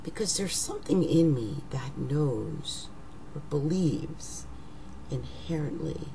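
A middle-aged woman speaks in a rough, raggedy voice, low and mellow, with a gravelly edge. She talks unhurriedly to a webcam at home, often trailing into near-whispers. A faint hum sits behind her. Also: expressive, poor audio. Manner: slow, musing, trails into whispers. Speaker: astrologer. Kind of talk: casual monologue to webcam. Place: living room at home. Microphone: webcam at desk.

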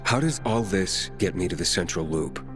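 A man's voice: low-pitched, lively intonation, moderate pace. An actor playing a young male wanderer hero in a video game, terse and wry. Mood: questioning. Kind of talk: video game drama acting. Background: music.

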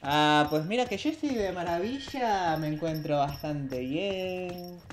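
A man's voice: high-pitched, a little slow, slight background noise. A man in his thirties with a Venezuelan Spanish accent, chatting playfully and teasing while streaming a game on a headset mic. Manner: playful, joking, lively and teasing. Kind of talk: casual gaming stream chat. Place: small home room indoors.

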